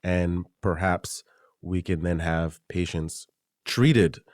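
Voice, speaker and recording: Host, male, very low voice, measured and thoughtful questioning. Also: a little mellow, no room sound, studio quality. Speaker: podcast host. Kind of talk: interviewer asking questions.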